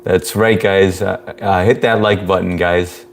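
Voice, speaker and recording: Deep, monotone man hosting a live stream. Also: unhurried, creaky, a little hiss or hum. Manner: laid-back, reads chat aloud, chuckles often. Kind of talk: casual live stream chat reading comments.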